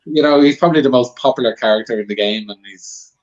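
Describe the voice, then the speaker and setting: A man's voice: mid-range voice, fast, muffled recording. A man chatting casually as a guest panellist, giving blunt opinions in an informal way.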